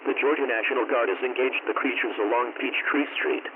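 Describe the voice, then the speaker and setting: A man reading a scripted emergency broadcast as an alert announcer, in a formal, measured, impersonal manner through a radio filter. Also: mid-range voice, steady tone. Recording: tinny narrowband radio, clear static noise.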